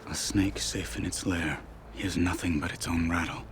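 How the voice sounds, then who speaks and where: Man acting a very deep, grim warrior marshal. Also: fairly even intonation, a little hiss or hum, close to an everyday voice. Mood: bitter, scornful. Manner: terse, stern, weighty deliberate delivery. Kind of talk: dramatic video game cutscene acting.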